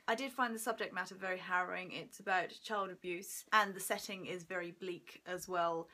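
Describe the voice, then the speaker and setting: A woman in her twenties giving an enthusiastic, expressive book review in casual chat to camera at home. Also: fairly low pitch. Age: 20s. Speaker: book vlogger. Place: small room at home by a window.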